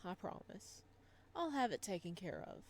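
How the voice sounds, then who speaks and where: A woman voicing a calm, reassuring man who recruits newcomers in a visual novel, in a measured manner. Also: mid-range voice. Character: calm, reassuring male recruiter showing newcomer around, Dima.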